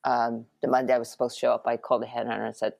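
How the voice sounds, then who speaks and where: A middle-aged woman from Japan, an animation producer, recounting her career in a relaxed interview with frequent ums and restarts. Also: extremely low pitch, racing, vocal fry, no room sound. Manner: reflective, many ums and restarts.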